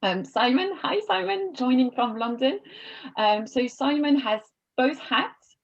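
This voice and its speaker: A woman's voice: high-pitched, expressive, measured pace, gravelly, lo-fi sound. A woman hosting an online panel from home over a video call, friendly and chatty, with many fillers and restarts. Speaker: webinar host and moderator. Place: home office.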